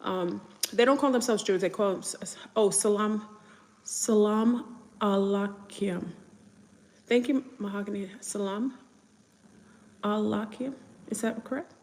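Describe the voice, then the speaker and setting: A woman's voice: mid-range voice, fairly even intonation, a little slow, long pauses, a little mellow. Chatty New woman, reverberant room. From New York. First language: French. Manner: rambling, chatty, answers viewer comments. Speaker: livestream host. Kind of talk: casual livestream chat.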